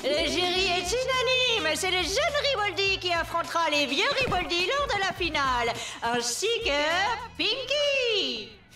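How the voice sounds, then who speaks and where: A theatrical, gushing cartoon lady announcer, very high and lively in pitch. Her voice echoes over loud music as she works up the contest. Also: measured pace, full character voice.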